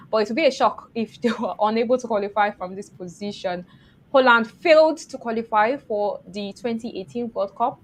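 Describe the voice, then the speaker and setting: A woman's voice: medium pitch, a little hiss or hum. A woman hosting a football show, reading scripted match previews and putting questions to viewers and guests.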